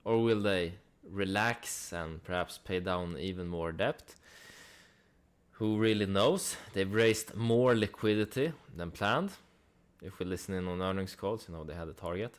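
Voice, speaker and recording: Slow-talking man with a lowish voice. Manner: conversational, with rhetorical questions and dry asides. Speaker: host of a stock analysis channel. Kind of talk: casual investment commentary.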